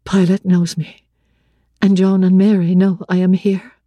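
A woman narrator plays a young heroine of a classic novel, composed and quietly firm. Her voice is mellow and husky, gentle in manner. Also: lowish voice, flat delivery, a little slow, dry recording. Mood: quiet, steady. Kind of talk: dramatic audiobook reading of classic literature.

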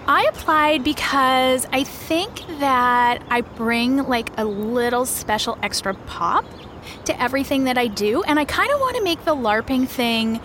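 A woman's voice: sits high, animated, a little slow, slight background noise. A woman playing a bubbly, self-regarding young social media influencer in an audio drama, gushing in valley-girl style. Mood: bubbly, boastful. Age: young adult. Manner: gushing, filler-heavy valley-girl talk. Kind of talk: reality show confessional in audio drama. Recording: loud music bed behind voice.

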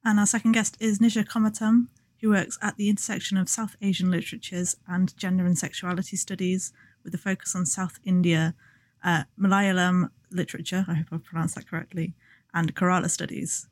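A woman co-hosting a podcast, reading prepared introductions in a measured, articulate, bookish way. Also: fairly low pitch, little pitch movement. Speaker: podcast co-host, academic. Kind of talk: scripted podcast narration and introductions.

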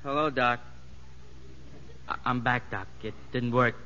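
An actor playing a meek, forlorn convict in a 1950s radio drama, hesitant, earnest and plain-spoken. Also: male voice, steady tone, measured pace, pushed and bright, in a large hall. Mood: sheepish. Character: meek, forlorn convict who loves prison life. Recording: boomy, muffled, noisy old radio broadcast.

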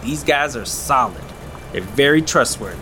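A man's voice: medium pitch, expressive, moderate pace, bright, forceful tone, slight background noise. A man playing a folksy, chatty, nosy small-town fisherman in an audio drama, rambling on good-naturedly. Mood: confiding.

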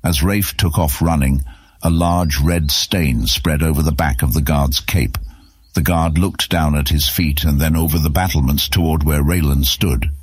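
A man's voice: rumbling low, fairly even intonation, moderate pace, husky, no echo, studio quality. A man narrating a scripted fantasy story as an audiobook narrator.

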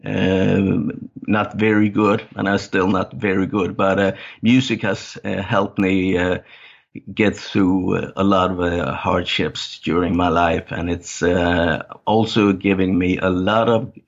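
A man's voice: low voice, level tone, slow pace, creaky, lo-fi sound. A middle-aged man from Denmark, a native Danish speaker, talking in a casual interview with many fillers and restarts. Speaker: guest.

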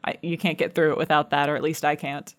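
A woman's voice: deep voice, level tone, fast talker. An American woman talking casually as a guest in a podcast interview, giving advice from experience. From United States. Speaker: podcast guest, show creator.

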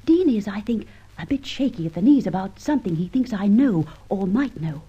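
Mellow, husky plucky heroine. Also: female voice, medium pitch, big pitch swings, brisk. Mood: confiding. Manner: composed, dry, forthright. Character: plucky, defiant young woman, well-spoken heroine. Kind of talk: old radio drama acting. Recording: muffled vintage radio recording.

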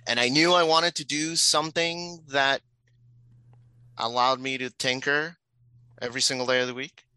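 A middle-aged man from Puerto Rico talking casually as an interview guest, reflecting in halting, hesitant phrases. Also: mid-range voice, fairly even intonation, sharp tone, clean tone, dry recording, poor audio.

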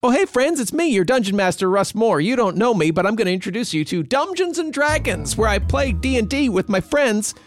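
Animated, high-pitched man promo over music. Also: brisk, few pauses. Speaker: podcast host, dungeon master. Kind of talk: chatty podcast promo.

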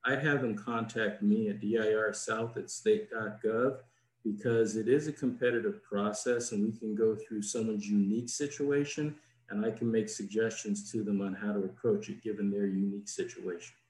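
Low-voiced man explaining in a roomy space. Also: fairly even intonation, darkish tone. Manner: plain, explanatory, measured. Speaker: diplomat in residence, guest. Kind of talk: informative answers in an interview. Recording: roomy echo.

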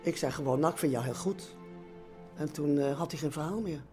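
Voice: medium pitch, a little fast, over music. A person telling anecdotes in an interview in a hesitant way, acting out quoted exchanges.

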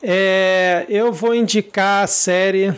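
A man hosting a podcast, relaxed, moving the conversation along. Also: high-pitched, takes it slowly, clear, resonant tone. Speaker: podcast host. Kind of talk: casual podcast chat.

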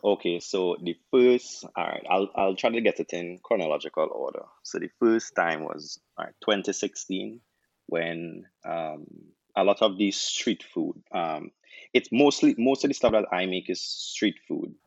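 A young man with a Trinidadian accent, a doctor turned chef, telling his story in a relaxed interview with many restarts. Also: sits low, a little slow, creaky, no room sound, dull audio. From Trinidad and Tobago. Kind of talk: casual interview answers.

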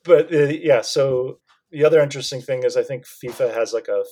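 A man co-hosting a casual talk podcast with a middle-pitched voice. He explains things at length, stopping and restarting with plenty of fillers. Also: brisk, dark tone. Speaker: podcast co-host. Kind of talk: casual chat.

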